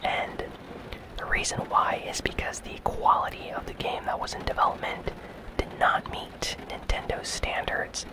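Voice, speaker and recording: This is an ASMR gaming news host who speaks entirely in a soft whisper. The delivery is breathy and casual, moving along in an unhurried, conversational way with very little voiced tone. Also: rough recording.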